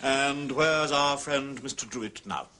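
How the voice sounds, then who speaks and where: An actor playing a measured, authoritative police investigator in a British detective drama. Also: male voice, medium pitch, pushed and bright, a little hiss or hum. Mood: official.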